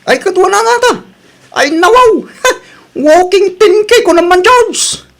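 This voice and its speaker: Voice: very high voice, lively intonation, slow pace, creaky, a bit bright, exaggerated voice. An actor voicing a whiny, pestering young relative in a radio comedy drama.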